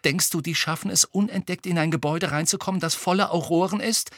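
Guy doing a worried teen witch girl for an audiobook, high voice, pleading but stubborn. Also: quick pace, a bit bright, no echo, studio quality. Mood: anxious. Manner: fearful, pleading, insistent. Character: anxious, determined, reasoning teenage witch girl. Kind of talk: audiobook character acting.

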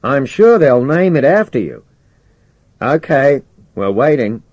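A man acts out a male spaceship commander for an audiobook. He is brisk, assured and persuasive, used to giving orders. The voice is pitched up and projected, in a very dry recording. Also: very animated, darkish tone, no room sound, lo-fi sound. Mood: enthusiastic. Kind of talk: audiobook character dialogue.

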